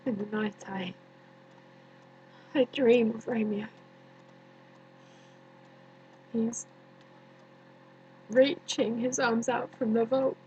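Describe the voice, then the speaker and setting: A woman performing a dramatic stage monologue as a bitter, grieving young woman, deliberate and accusing, with many pauses. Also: fairly high pitch, lo-fi sound, slight background noise. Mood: sorrowful, haunted. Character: bitter grieving young woman haunted by her dead cousin.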